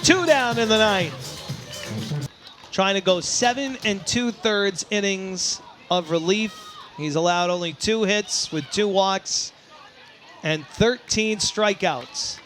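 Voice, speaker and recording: A man's voice: sits high, takes it slowly, fairly bright tone, soft background music, a faint crowd. A man doing live baseball play-by-play as the announcer, in short, emphatic phrases. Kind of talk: live sports play-by-play commentary.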